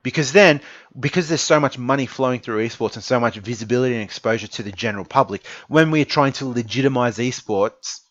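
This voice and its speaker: A man's voice: mid-range voice, brisk, fairly bright tone, no echo, muffled recording. An Australian man of twenty-nine giving an informal opinion monologue, earnest and emphatic, repeating phrases for stress. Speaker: esports content creator giving an opinion piece.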